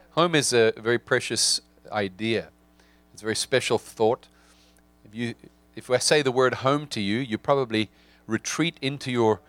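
A man in his forties with a South African accent, giving a reflective sermon with frequent restarts and pauses. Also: mid-range voice, a bit bright, dry recording. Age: 40s.

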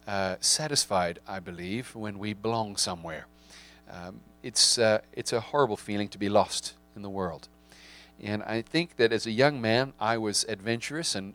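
A man's voice: lowish voice, fairly bright tone. Reflective South African man preaching. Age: 40s. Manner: reflective, with frequent restarts and pauses. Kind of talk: sermon.

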